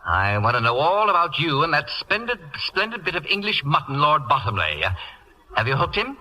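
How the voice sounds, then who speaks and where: Imperious middle-aged wit, very bright forceful voice, swooping intonation, waspish mock-sweetness, vintage radio comedy. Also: male voice, on the high side, very animated, a little fast, exaggerated voice, low-quality recording. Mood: sardonic. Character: imperious, acid-tongued middle-aged celebrity who uses a wheelchair. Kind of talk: 1940s radio comedy acting. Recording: vintage radio broadcast recording.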